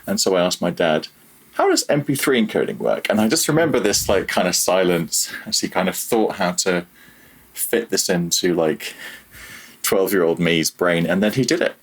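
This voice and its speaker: A low, husky-voiced programmer in his thirties, thinking aloud as a guest on a laid-back tech podcast. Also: normal speed. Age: 30s. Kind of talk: casual interview chat.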